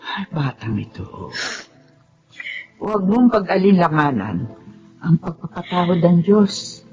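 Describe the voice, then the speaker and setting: An actress playing a kindly older auntie in a Tagalog radio drama, counselling gently and expressively with faith. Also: female voice, lowish voice, sing-song, a little slow, husky, heavily acted. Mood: caring, counselling. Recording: dull audio, music bed behind.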